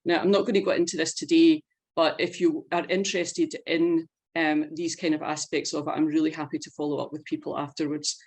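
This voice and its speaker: A woman leading an informational webinar, explaining facts and figures in an earnest, measured way. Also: sits low, little pitch movement, darkish tone. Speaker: presenter leading an online info session.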